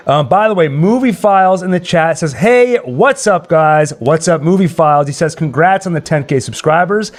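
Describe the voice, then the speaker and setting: A man's voice: a little high, animated, moderate pace, few pauses. A man hosting a live stream, chatting casually and enthusiastically about films and reading out viewer comments. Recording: music playing behind the voice. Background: music.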